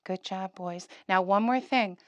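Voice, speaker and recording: A woman's voice: medium pitch, measured pace, no room sound, muffled recording. A woman presenting an interactive lesson to young children, giving simple instructions, questions and encouragement. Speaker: puppet show presenter for preschoolers.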